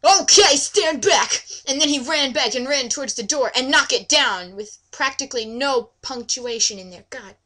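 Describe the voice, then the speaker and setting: A woman with a high, bright, forward voice reads amateur fan fiction in a mocking way. She plays up the narration, then drops it for exasperated, sweary comments on the writing. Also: moderate pace, few pauses. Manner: breaks off into exasperated, sweary asides. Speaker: reader.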